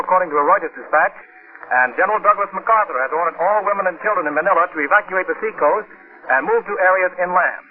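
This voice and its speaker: A man's voice: high-pitched, a little hiss or hum. A man with an American accent reading a scripted 1940s radio bulletin in a formal, clipped, urgent manner. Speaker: radio news announcer. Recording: tinny 1940s radio broadcast.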